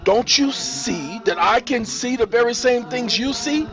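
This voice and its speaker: A man playing a violent, threatening gang boss who bullies and shouts in an acted drama. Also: extremely high pitch, lively intonation, a little slow, highs cut off, faint music behind, voice pushed up, full character voice. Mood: raging, boastful. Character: violent, menacing male gang boss, quick to rage.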